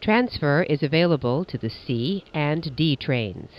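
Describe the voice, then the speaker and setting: A man's voice: on the high side, lively intonation, a little slow, lo-fi sound. A man reading scripted transit announcements as a train announcer, in formal, segmented phrasing.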